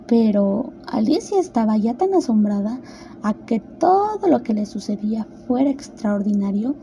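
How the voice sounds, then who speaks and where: A woman narrates a storybook tale expressively in a high voice. Her tone is very soft and dark-edged, with faint noise in the recording. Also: lo-fi sound.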